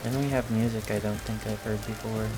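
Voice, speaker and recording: A hoarse man giving casual, hesitant commentary over a video game, thinking aloud with frequent pauses and fillers. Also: lowish voice, fairly even intonation, low-quality recording. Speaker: gameplay video creator. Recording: clear game music under the voice. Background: game music and rain sounds.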